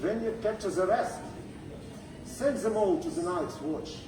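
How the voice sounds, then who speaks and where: Young queen of a told tale, played by a storyteller: commanding, deliberate, gracious; echoey room, music underneath. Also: mid-range voice, expressive, close to an everyday voice. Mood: solemn, commanding. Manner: formal, deliberate, proclaiming. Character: regal silver-haired young queen, commanding yet gracious. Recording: roomy distant pickup with music behind.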